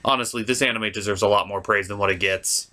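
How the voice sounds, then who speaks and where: A man hosting a comedic review show, narrating a script in an animated, theatrical and exaggerated way with mock exasperation. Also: fairly low pitch, quick pace.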